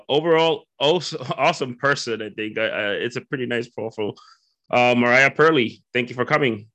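A man hosting a podcast, asking questions casually with quick intros and frequent stumbling restarts. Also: medium pitch, a bit bright. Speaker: podcast host. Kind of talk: casual interview chat.

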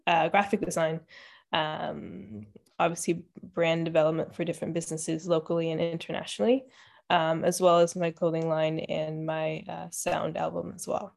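A woman from Ontario, a podcast guest chatting casually and relaxed, often pausing on "um". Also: low-pitched, gravelly. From Ontario, Canada. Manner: relaxed, many "um" fillers, thinking aloud. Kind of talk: casual interview chat.

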